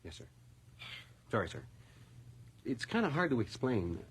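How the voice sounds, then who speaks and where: A man's voice: medium pitch, big pitch swings, normal speed, husky. A man acting in a radio drama as an earnest, deferential police constable with an official manner. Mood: earnest, explaining.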